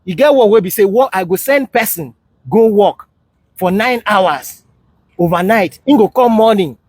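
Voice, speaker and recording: Casting: Nigerian man, fairly high husky voice, dry sound, informal vlog storyteller who advises and checks you follow. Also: lively intonation, normal speed, darkish tone, no room sound. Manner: advising, often checks listeners understand. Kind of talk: casual chat, storytelling in Nigerian Pidgin and English.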